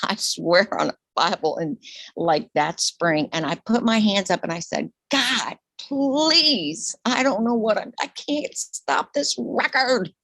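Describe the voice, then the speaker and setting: A woman in her sixties, a retired nurse, talking openly in an interview, animated and laughing, her voice leaping high when excited. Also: no echo. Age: 60s. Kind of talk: casual interview conversation.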